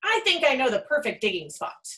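A woman's voice: high-pitched, wildly swooping pitch, a little fast, fairly bright tone, voice pushed up, exaggerated voice. A woman voicing a polite, earnest young boy from a storybook, with plain replies. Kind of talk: storybook character voice.

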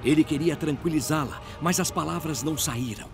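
A man's voice: a little high, brisk, gravelly, over music. A man with a Brazilian accent narrating a scripted story in a dramatic audio drama style.

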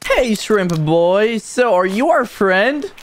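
A woman voicing an excitable orange stick figure in an amateur cartoon fan dub, searching for lost friends with casual, youthful slang and exclamations. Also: mid-range voice, slow pace. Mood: curious, upbeat.

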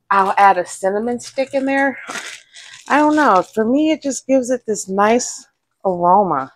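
A woman in her thirties chatting casually as she cooks in her small home kitchen, relaxed and rambling, wearing a lapel mic. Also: medium pitch, a little hiss or hum, poor audio. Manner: relaxed, rambling, laughs to herself. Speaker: vlogger. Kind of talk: casual cook-along chat. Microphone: clip-on lapel mic.

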